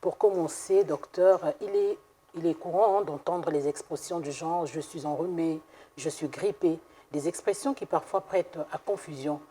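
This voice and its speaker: A woman's voice: low-pitched, moderate pace, warm tone, husky. A woman hosting a TV health programme on a studio set, asking questions and echoing her guest's answers. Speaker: TV show host. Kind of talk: TV health interview.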